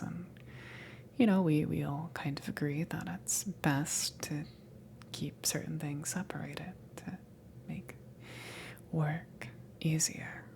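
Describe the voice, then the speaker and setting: A woman playing a flustered, self-conscious alien crewmember in an ASMR roleplay, breathy and stammering, smitten with the listener. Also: sits low, a little mellow, full character voice. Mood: awkward, confessional. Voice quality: breathy, creaky, often whispered. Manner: hesitant, stammering, slow, awkward.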